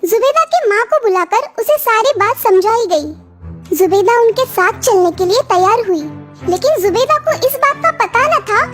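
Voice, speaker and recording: A female storyteller with an extremely high, childlike pitch reads scripted fairy-tale narration for children. Music plays clearly behind her. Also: animated, a little slow.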